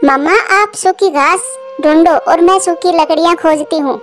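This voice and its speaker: A woman's voice: very high voice, normal speed, faint music behind. A woman voicing an eager, insistent little girl chick in a childlike cartoon manner.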